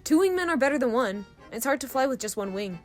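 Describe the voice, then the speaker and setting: A mischievous, breezy young troublemaker voiced by a woman in an audio drama. The voice is on the high side and very animated, playful and glib, over faint music. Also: fast. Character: breezy, mischievous young troublemaker full of half-baked theories.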